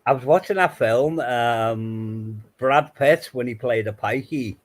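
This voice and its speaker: A man's voice: mid-range voice, takes it slowly. A man from Liverpool whose accent has faded after years in southwest England, chatting casually and rambling with frequent restarts and laughs. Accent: faded Liverpool accent softened by years in southwest England. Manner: rambling, self-interrupting, laughs often. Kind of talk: casual chat.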